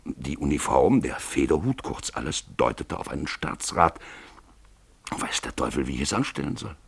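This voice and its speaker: A man narrating a literary radio play in an expressive storytelling manner. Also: rumbling low, normal speed, gravelly, slightly rough, no room sound.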